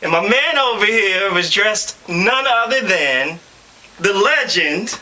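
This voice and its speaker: A young man from Louisville, Kentucky, telling a story in a casual, animated way, with slang and frequent laughs. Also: sits high, takes it slowly, poor audio. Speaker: audition video co-presenter.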